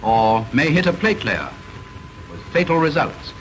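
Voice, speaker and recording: A man's voice: on the high side, lively intonation, fairly bright tone, rough recording. Stern British man narrating an old training film. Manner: measured, instructive and stern. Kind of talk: formal scripted narration. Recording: vintage film soundtrack with faint hiss.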